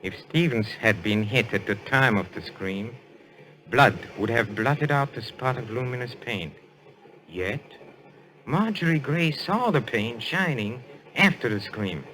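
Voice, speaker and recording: An actor playing a suave, assured detective in a radio mystery, speaking in a courtly, deliberate way. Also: male voice, mid-range voice, lively intonation, a bit bright, a little hiss or hum, low-quality recording. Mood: grave, revealing. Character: suave, assured French detective, male, posing as a medium. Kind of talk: old-time radio mystery acting.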